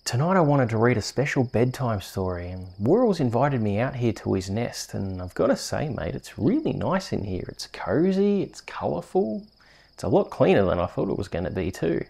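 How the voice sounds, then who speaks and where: An Australian man hosting and reading bedtime stories in a soft, soothing, unhurried ASMR storytelling manner. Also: mid-range voice, very animated, gravelly. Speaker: host reading bedtime stories on a video channel.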